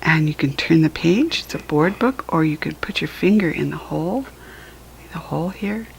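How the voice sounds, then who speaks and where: A woman's voice: deep voice, animated, normal speed, vocal fry, slight background noise, poor audio. An American woman reading a picture book aloud to young children, with simple, instructive asides. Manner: acts out animal sounds while reading.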